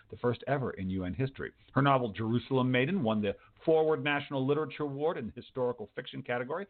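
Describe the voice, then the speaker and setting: Chatty male host on phone-like audio. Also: medium pitch. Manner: rambling, answers his own remarks. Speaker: host of a writing talk show. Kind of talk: casual, chatty radio hosting.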